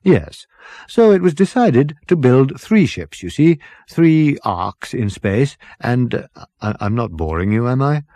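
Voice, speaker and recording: Man acting a genial, dithering captain, swooping pitch. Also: sing-song, a little slow, mellow, no room sound. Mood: rambling, cheerful. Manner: rambling, hesitant, chummy, polite upper-class politeness. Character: genial, vague, dithering male ship's captain, cheerfully unbothered. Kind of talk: comic science fiction audiobook character.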